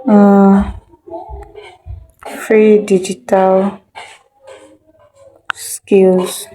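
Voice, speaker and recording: A woman giving a casual online editing tutorial, thinking aloud and muttering haltingly as she works. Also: mid-range voice, level tone, mellow, poor audio. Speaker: instructor of an online video editing class.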